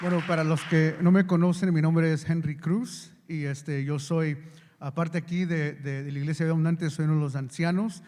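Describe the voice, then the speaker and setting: A man from the United States, speaking haltingly to an audience as a church elder. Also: a little high, flat delivery, darkish tone, a little hiss or hum. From Chicago, United States. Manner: halting, searching for words. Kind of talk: casual self-introduction to an audience. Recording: reverberant hall sound.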